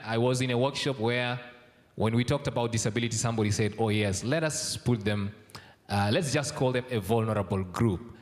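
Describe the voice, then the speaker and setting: A young man from South Sudan giving a formal conference speech, measured and full of ums and uhs. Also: medium pitch. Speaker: conference speaker. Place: large conference hall. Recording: long hall reverb.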